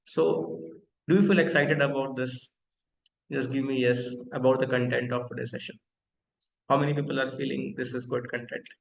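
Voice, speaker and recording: Gravelly-voiced man hosting a tech webinar. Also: mid-range voice, little pitch movement, normal speed, reverberant, slight background noise, poor audio. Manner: interactive, asks the audience questions, many fillers. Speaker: webinar host and company founder. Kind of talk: live online technical lecture.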